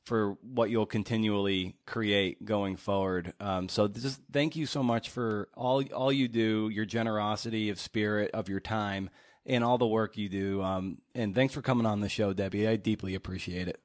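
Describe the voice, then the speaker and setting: A man's voice: lowish voice, steady tone, moderate pace, no room sound, studio quality. A man hosting a podcast in an informal, earnest, conversational way. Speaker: podcast host, interviewer.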